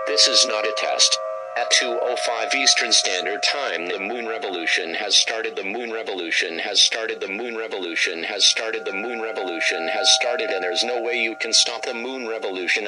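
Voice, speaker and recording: Low-pitched man reading a mock emergency alert. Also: poor audio. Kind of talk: scripted emergency broadcast narration.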